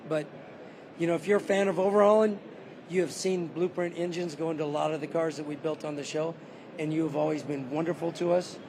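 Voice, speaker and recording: A car builder who is losing his voice, calmly giving a detailed walkaround of a custom car on a show floor. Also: on the high side, fairly quick, background noise. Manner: detailed, matter-of-fact.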